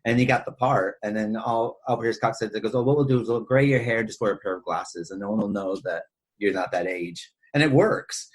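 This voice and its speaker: A man with a husky voice talking casually and ramblingly as a podcast host discussing film. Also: on the low side, fairly quick, rough recording.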